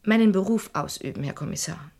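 An actress playing a cool, resolute grieving daughter with a controlled, firm delivery in a radio crime drama. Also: female voice, lowish voice, animated, brisk, vocal fry, a little mellow, husky, dry recording, exaggerated voice. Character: cool, resolute pianist, murdered man's daughter.

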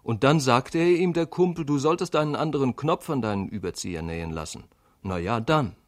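A man with a medium-pitched voice narrates a literary monologue for radio. He delivers it as a performed piece of storytelling in a radio play. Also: expressive, fairly quick.

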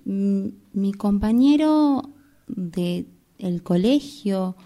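A woman playing an earnest, talkative long-time therapy patient in an amateur radio play, with a Rioplatense Argentine accent and a halting, polite, apologetic manner. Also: medium pitch, lively intonation, dark tone. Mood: anxious doubt. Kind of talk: amateur radio theatre acting.

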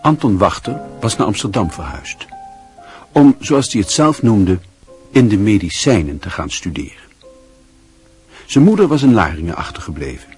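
A man narrating a radio drama in a measured, literary storytelling manner. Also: on the low side, very animated, darkish tone, soft background music.

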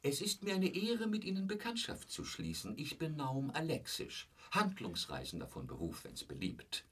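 Husky, high-voiced, ceremonious little salesman. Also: wildly swooping pitch, normal speed, heavily acted. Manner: ceremonious, obsequious. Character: small, pale, ceremoniously polite male traveling salesman. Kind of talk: radio drama acting. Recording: quiet, distant from the microphone.

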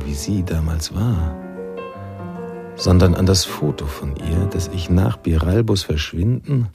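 An actor playing a reflective, nostalgic male narrator in a noir radio drama, speaking in a measured, literary manner. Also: deep voice, gravelly, mellow. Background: jazz music.

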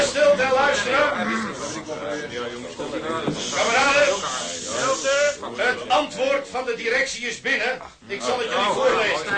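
A man playing an excitable union chairman who addresses a meeting of sailors in formal, declamatory speech in a Dutch period drama. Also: very high-pitched, reverberant, full character voice. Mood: urgent, commanding.

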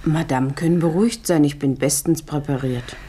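Low-voiced, pert young woman played by an actress. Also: normal speed, dark tone, slight background noise, lightly acted. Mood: cool, compliant. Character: young hired girl, cool, pert and dutiful. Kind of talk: 1970s German radio play acting.

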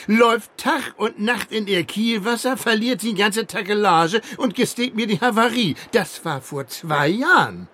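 An actor playing a rough, honest, big-hearted old fisherman in a radio drama, with a husky voice and a northern German accent. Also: high-pitched, lively intonation, a little slow, few pauses, bright, forceful tone, heavily acted. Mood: jubilant. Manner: hearty, folksy seafaring talk.

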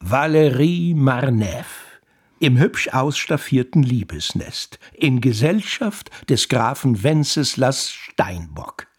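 Radio drama author-narrator: proud, wry, confiding, heavily rasping mid-range voice. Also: male voice, medium pitch, expressive, slow pace. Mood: knowing, dramatic. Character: proud, wry novelist narrating his own story.

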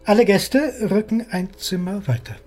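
Eccentric male time-travel theorist for radio drama: raspy, higher-pitched, smug, insistent, impatient with slower minds. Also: big pitch swings, darkish tone, faint music behind. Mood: smug, lecturing. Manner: lecturing, condescending, insistent. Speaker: actor in a radio play. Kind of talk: German radio drama dialogue.

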